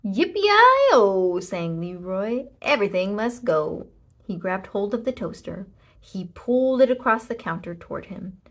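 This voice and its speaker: A woman narrating a children's storybook aloud, with expressive, deliberate delivery and emphasis on short sentences. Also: mid-range voice. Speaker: storybook reader.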